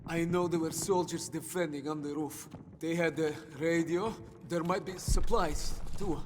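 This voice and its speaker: A man's voice: fairly high pitch, fairly even intonation, unhurried, slight background noise, close to an everyday voice. An actor playing an anxious, tender young Soviet man, hesitant and emotional, with a Russian accent, in a dramatic video game scene. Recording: music behind the voice.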